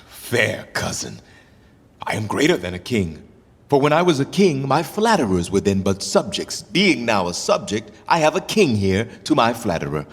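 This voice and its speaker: Husky, grieving deposed king. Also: mid-range voice, very animated, long reverb tail. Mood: mocking, defiant. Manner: theatrical verse delivery, musing, mocking pauses. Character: grieving deposed king, bitterly ironic, self-dramatizing, proud. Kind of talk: Shakespearean radio drama acting.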